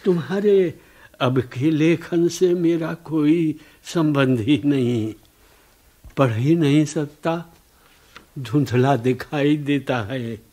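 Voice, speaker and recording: Frail, very slow-speaking old man. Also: on the high side, dark tone. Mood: mournful, probing. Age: older. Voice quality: breathless, weary. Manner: halting, drawn-out speech with rhetorical questions. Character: frail ailing old revolutionary, affectionate, mournful, probing. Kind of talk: radio drama acting.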